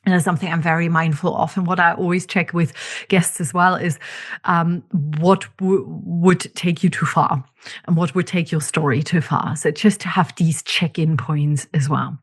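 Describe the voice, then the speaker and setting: A woman's voice: fairly low pitch, fairly even intonation, moderate pace. A woman with a German accent hosting her own podcast, talking candidly and reflectively, with frequent ums and restarts. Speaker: podcast host. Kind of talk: reflective solo podcast monologue.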